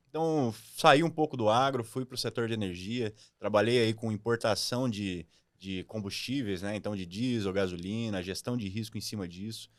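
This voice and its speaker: A man's voice: on the low side, no room sound. A man from Mato Grosso do Sul, an agronomist guest who lays out his career in a structured, businesslike way. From Mato Grosso do Sul, Brazil. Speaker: agronomist, company co-founder, guest. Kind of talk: casual podcast interview.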